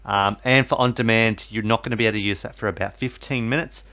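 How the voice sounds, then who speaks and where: A man's voice: fairly low pitch, fairly even intonation, quick pace, pushed and bright. A man talking viewers through resetting a TV box, rambling and full of fillers. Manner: rambling, filler-heavy, repeats "basically" and "obviously". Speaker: tech tutorial host. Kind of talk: casual how-to voice-over.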